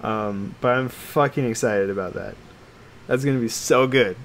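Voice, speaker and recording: A man's voice: medium pitch, moderate pace. Man chatting casually over background noise. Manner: sweary, excitable fan talk. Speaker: podcast co-host. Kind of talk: casual podcast chat.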